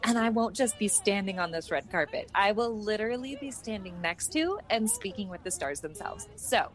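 A woman's voice: mid-range voice, expressive, normal speed, faint music behind, natural voice. A woman playing a chirpy, eager young red carpet reporter in a comedic radio sketch, polite and gushing. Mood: excited.

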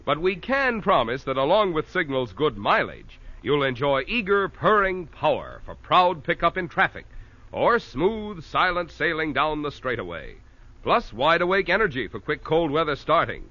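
A male radio announcer delivers a vintage sales pitch in a bright, fairly high voice. His patter is polished and lively, on a dull old broadcast recording. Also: moderate pace. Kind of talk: 1940s radio advertising read.

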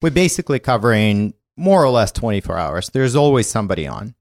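Ukrainian-born male tech guest in his forties, mid-pitched, halting delivery, dry close mic. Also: sing-song, dry recording. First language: Ukrainian or Russian. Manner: halting, restarts sentences, laughs easily. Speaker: guest, startup CTO. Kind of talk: casual interview conversation.

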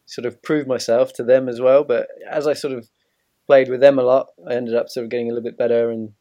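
A man's voice: mid-range voice, level tone, fast, mellow, dry recording. A man in his thirties from Zimbabwe, telling his story conversationally as an easygoing interview guest. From Zimbabwe, moved to England as a child. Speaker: podcast guest, ex-professional cricketer.

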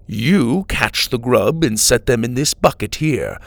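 A man voicing a round, hearty hunt leader who cheers others on in a booming voice. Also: animated, unhurried, gravelly, no room sound.

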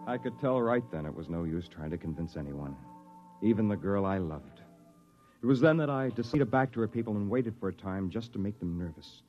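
A man's voice: lowish voice, lively intonation, fairly quick, lightly acted. An American man playing a cunning, cynical misfit who narrates and bluffs in a 1950s radio drama. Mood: wry, reflective. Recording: muffled old radio recording, faint music behind.

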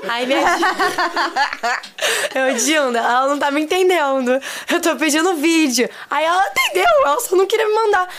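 A young Brazilian woman, a podcast guest, telling a travel story in casual chat, animated and laughing, repeating phrases for emphasis. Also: high voice, moderate pace. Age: young adult.

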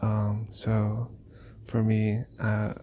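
Guy from malaysia, low flat gravelly voice, earnest, dull lo-fi memo. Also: very slow, a little hiss or hum. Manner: earnest, reflective. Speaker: caller. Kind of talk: voice message.

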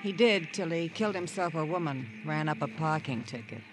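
An actor playing a slick, sardonic American bargain-shop boss in a 1950s radio drama, with wry, theatrical patter. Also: male voice, on the high side, fairly quick, over music, lightly acted. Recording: faintly noisy old radio recording.

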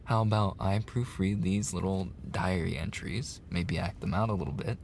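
A man plays a male college tutor in an intimate ASMR roleplay, easygoing and teasing. His soft voice sits low with a heavy creak, and he takes his time with casual, flirty pauses. There is faint noise behind him. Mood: playful, coaxing. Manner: casual, hesitant pauses, soft-spoken, drops into whispers. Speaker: audio roleplay performer.

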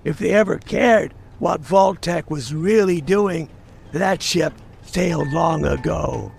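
Ancient, thick-skinned salesman; high gravelly voice, weary and guarded, audio drama. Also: slow pace, a little mellow, slight background noise, exaggerated voice. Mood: defensive. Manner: weary, defensive. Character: jaded, centuries-old salesman, thick-skinned and guilty.

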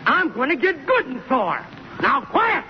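A man playing a gruff, bullying army sergeant in a 1940s radio comedy, barking threats in exasperation, on a noisy old broadcast recording. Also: extremely high pitch, huge pitch swings, measured pace, lo-fi sound, heavily acted. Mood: angry.

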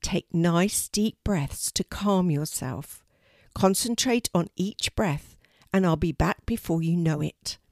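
A woman voicing a kind, practical young girl who speaks gently and reassuringly in a children's storybook. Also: medium pitch, expressive, a little slow, dry recording, studio quality, lightly acted. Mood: calm.

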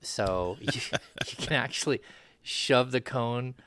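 A man's voice: medium pitch, takes it slowly, fairly bright tone, slight background noise. A man hosting a casual sports podcast, rambling with lots of fillers in a friendly way. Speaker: podcast host.

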